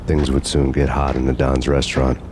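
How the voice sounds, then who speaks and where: An actor playing a grim, world-weary, vengeful hard-boiled narrator in a noir game, brooding and deadpan. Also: male voice, a bass voice, fairly quick, background noise.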